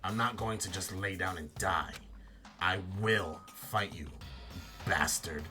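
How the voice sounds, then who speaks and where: Man as a low, grave female fighter. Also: slow pace, bright, forceful tone, heavily acted. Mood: resolute. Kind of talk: fan voice-over of a visual novel game. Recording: game music behind the voice.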